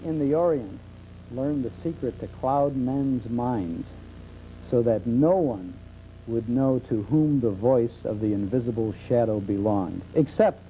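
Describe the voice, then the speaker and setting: Very mellow American man telling radio anecdotes. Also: medium pitch, a little hiss or hum, poor audio. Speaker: guest expert on old-time radio. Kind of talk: talk show conversation, enthusiastic anecdotes. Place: television studio.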